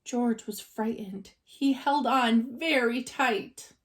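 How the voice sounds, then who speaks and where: A woman reading a children's story aloud like a teacher, expressive and dramatizing for young listeners. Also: on the high side, slow, a little hiss or hum.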